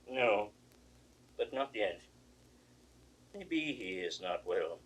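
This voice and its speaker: Proud aging king, forceful bright voice, slow declamation, imperious, classical stage drama, distant 1960s recording. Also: mid-range voice. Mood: wrestling restraint. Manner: declamatory, imperious, abrupt swings from muttering to roaring. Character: proud, aging king, wounded father, volatile and wrathful. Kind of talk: classical Shakespearean stage acting.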